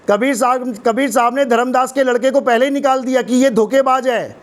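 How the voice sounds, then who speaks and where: High-voiced hoarse man, mocking debater. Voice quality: combative, mocking. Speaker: spiritual teacher in debate. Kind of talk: heated religious debate.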